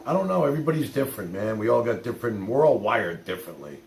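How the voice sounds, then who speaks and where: A male livestream host chats in a folksy, plain-spoken way. His mid-pitched voice swings widely and is heavy with creak. The room echoes around him. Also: sing-song, quick pace, darkish tone. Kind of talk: casual chat. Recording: roomy echo with faint noise.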